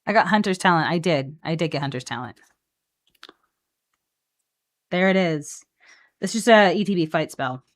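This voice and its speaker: A woman with a slightly low voice talks casually, as if to a friend during a game. Her intonation is very lively, and she thinks out loud in a playful, animated way. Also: a little fast. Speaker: card game streamer. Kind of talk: casual live-stream chat while building a card deck.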